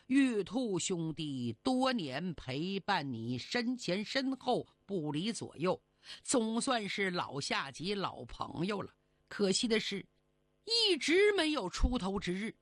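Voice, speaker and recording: A woman voicing a cautious, earnest male immortal in a storytelling tale, giving measured advice. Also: mid-range voice, a bit bright, no room sound, full character voice. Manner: measured, advising.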